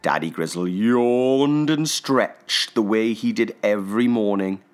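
Man, children's story narrator, lowish pitch, unhurried pace, expressive, theatrical, deliberate pauses. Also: soft background music.

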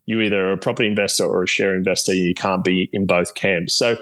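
Wordy Australian adviser on a call. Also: male voice, sits low, fairly even intonation, fast, a bit bright. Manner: formal, wordy, earnest. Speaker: property adviser in a client review. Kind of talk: client consultation.

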